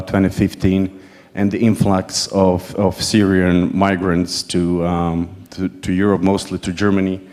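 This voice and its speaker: Low-voiced Croatian man in echoey hall. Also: a little mellow. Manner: halting, hesitant, repeats words. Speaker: panel chair. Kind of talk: formal conference opening remarks. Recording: echoey hall with long reverb.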